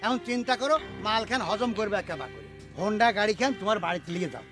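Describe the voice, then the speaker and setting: A village elder smoothing over a squabble in a high voice. He speaks an in a comic TV drama, with music playing behind. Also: expressive, fast. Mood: placating.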